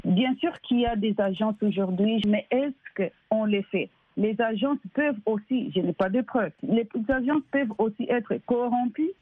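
A woman's voice: on the high side, moderate pace, few pauses, no echo. A woman from DR Congo with a Congolese French accent, answering as an interview guest in an earnest, emphatic way, explaining with examples. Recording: tinny, phone-like band-limited line.

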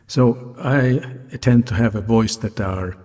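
A man who is a non-native English speaker hosts a solo podcast, articulating carefully and deliberately in a slightly raspy voice. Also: medium pitch, a little mellow. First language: not English. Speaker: podcast host, hotelier. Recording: reverberant hall-like sound, music behind.

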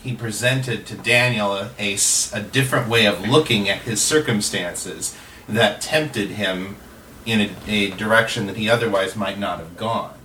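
A man hosting a casual round-table discussion, speaking in an analytical, measured way. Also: lowish voice, sharp tone. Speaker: host and moderator. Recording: roomy, distant mic with faint noise.

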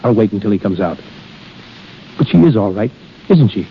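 Devoted soldier husband, anxious, urgent, highly animated pitch, 1930s radio acting, muffled broadcast. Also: male voice, moderate pace, slight background noise. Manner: urgent, earnest, emotional.

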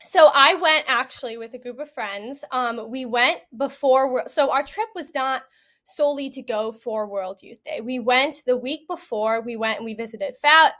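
A woman's voice: a little high, a bit bright. A young American woman, a podcast guest giving a casual, chatty first-hand account. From United States. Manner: chatty, conversational.

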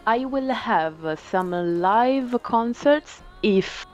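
A woman's voice: on the high side, lively intonation, slow pace, a little mellow, soft background music. A woman with an Italian accent, a guest chatting casually on a podcast, hesitating often with many fillers and laughs. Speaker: guest musician. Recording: dull lo-fi remote audio.

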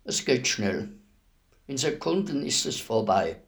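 A state executioner in a radio drama. He is a man with a medium-pitched voice who speaks plainly and briefly. His manner is courteous and servile, quietly humble about his grim trade. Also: very animated, slow, creaky, full character voice. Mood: businesslike. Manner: deferential, plain, terse. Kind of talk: German radio play acting.

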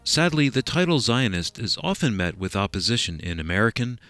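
Low-voiced male radio announcer. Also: very animated, a little fast, fairly bright tone, faint music behind. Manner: smooth, read from a script. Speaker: radio show co-host. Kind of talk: scripted radio introduction.

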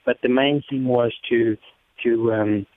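A man's voice: medium pitch, steady tone, unhurried, dry recording. South African man on a muffled line. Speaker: motorcycle race team member and interview guest. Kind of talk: casual interview storytelling. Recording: muffled narrow-band phone or radio sound.

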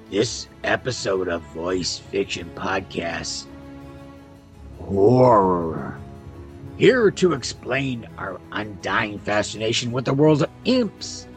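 A man with a fairly low voice presents a podcast introduction. His delivery is extremely unhurried and scripted, with lively swings in pitch, over a music bed. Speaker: podcast host.

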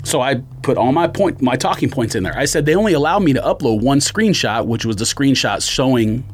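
A man's voice: mid-range voice, lively intonation, a little fast, slightly rough. A man telling a story as a casual podcast rant, slangy and exasperated, acting out both sides of exchanges. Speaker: sneaker podcast co-host.

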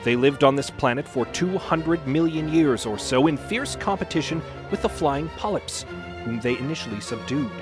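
A man's voice: fairly high pitch, animated, moderate pace, music in the background. A man reading prepared scripted material aloud as a podcast presenter. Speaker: podcast host, ad reader and dramatic reader.

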